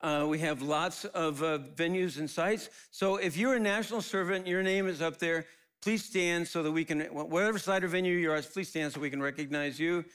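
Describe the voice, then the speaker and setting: A man's voice: fairly high pitch, fairly quick, fairly bright tone, clear, resonant tone. Upbeat man hosting a church service. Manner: upbeat, rallying the crowd. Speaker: church host.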